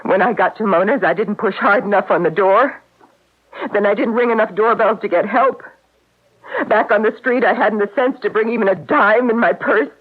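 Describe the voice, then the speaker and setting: An actress playing a guilt-stricken married stage actress grieving her friend, anguished and halting, in an old-time radio drama. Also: medium pitch, fairly even intonation, close to an everyday voice. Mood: self-accusing. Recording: tinny, narrowband radio drama recording.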